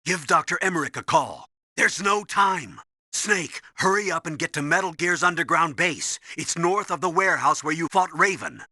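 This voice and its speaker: An actor playing a stern older colonel in a video game, briefing and giving orders with a husky, commanding voice. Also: male voice, a little high, moderate pace, sharp tone, dry recording, studio quality. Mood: urgent, commanding. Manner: commanding, urgent, instructive.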